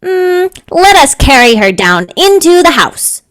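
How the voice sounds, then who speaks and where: Woman playing an earnest, slow-spoken young boy. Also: extremely high pitch, very animated, fairly bright tone, heavily acted. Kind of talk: audio play acting.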